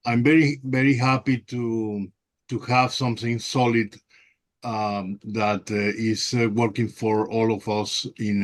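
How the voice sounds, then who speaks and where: A man with a Venezuelan Spanish accent speaks slowly on a video call. He searches for words, with many pauses and fillers, and his pitch sits in a middle range. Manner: hesitant, many fillers, slow. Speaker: meeting participant, ham radio operator. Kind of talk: halting online meeting remarks.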